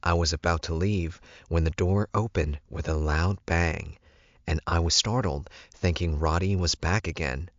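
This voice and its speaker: A man's voice: low voice, measured pace, no room sound, dull audio. A man reading scripted first-person fiction as an audiobook narrator.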